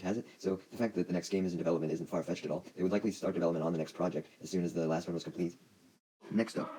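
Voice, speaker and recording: A man with a low-pitched voice talks at a quick clip. He delivers news commentary in a casual, opinionated way, like an online host. His wording is informal and peppered with swearing. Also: darkish tone, husky, rough recording.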